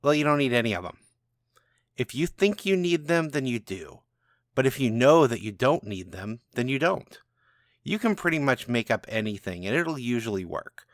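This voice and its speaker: A man's voice: mid-range voice, no echo, studio quality, lightly acted. A man reading a storybook tale as a cocky, irreverent and powerful rogue wizard, brash and conspiratorial. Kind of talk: first-person storybook narration.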